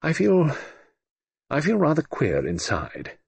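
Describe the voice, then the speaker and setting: A man's voice: a little high, wildly swooping pitch, slow, creaky, breathy edge, dry recording, pitched above the performer's own voice, heavily acted. A man doing a nervous, polite bridegroom. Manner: polite, earnest, a little anxious. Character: nervous middle-aged bridegroom, diplomat, polite.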